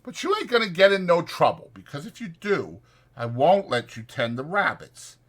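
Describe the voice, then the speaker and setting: A man voicing a sharp, irritable but protective ranch drifter, brisk, insistent and colloquial, in an audiobook. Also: a little high, big pitch swings, husky, voice pushed up, full character voice. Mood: instructive, stern.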